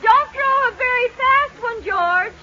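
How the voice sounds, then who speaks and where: An actress playing a wry, indignant American wife in an old-time radio comedy. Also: female voice, very high-pitched, unhurried. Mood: excited. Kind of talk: 1940s-50s radio comedy acting. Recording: muffled old radio recording, crowd noise.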